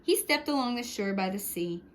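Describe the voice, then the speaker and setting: A woman reading a picture book aloud to young children, expressively, with short hushed asides to the listeners. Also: medium pitch, moderate pace, a little hiss or hum. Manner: expressive, with hushed whispered sound words and asides. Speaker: storytime reader for young children.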